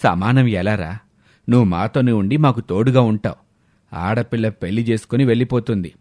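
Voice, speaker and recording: A man voicing a stern, traditional middle-aged farmer father with a gruff manner in an audio story. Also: on the low side, normal speed, a little mellow, no room sound, clean studio sound, pitched below the performer's own voice, close to an everyday voice. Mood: dismissive. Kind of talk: scripted audio story dialogue.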